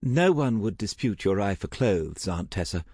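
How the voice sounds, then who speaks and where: Man acting a proud, animated aristocratic young gentleman. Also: on the low side, normal speed, husky, dry recording, clean studio sound, voice pushed up. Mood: amiable, puzzled. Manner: clipped, affronted, formal. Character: proud, indignant aristocratic young gentleman, courteous to his mother. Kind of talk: audiobook character acting.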